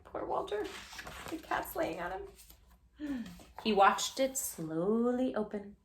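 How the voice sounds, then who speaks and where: A woman hosts a children's storytime in a fairly high voice. She reads expressively and playfully, speaking straight to her young listeners. The room around her is noticeably echoey. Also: slow pace, creaky, slight background noise. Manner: animated, playful, talks directly to young listeners. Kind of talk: expressive picture-book read-aloud for children.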